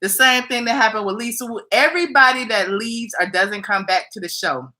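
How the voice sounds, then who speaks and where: Casual woman hosting a live call-in chat, bright tone. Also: fairly high pitch, fairly quick. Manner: casual, conversational. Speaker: host.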